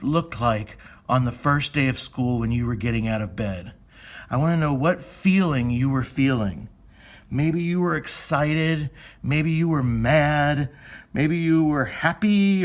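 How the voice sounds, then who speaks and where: Male elementary teacher, mid-range voice, informal step-by-step delivery aimed at young children, with conversational questions. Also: mid-range voice. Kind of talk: step-by-step lesson for young children, thinking aloud.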